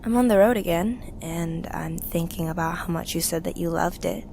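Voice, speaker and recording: A woman playing a weary, grieving young survivor of a revolution in an audio drama, speaking in a wistful, halting, confessional way. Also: lowish voice, creaky, mellow, slight background noise. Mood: tender, longing. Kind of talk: intimate audio drama monologue.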